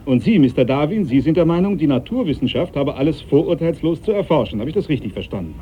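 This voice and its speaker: A man's voice: a little high, animated, fast talker, natural voice. An actor playing a proud, devout, domineering sea captain in a German radio play, speaking formally and needling those he addresses. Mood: irritated, commanding. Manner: formal, commanding, needling, cuts others off. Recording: dull, noisy 1980s radio recording.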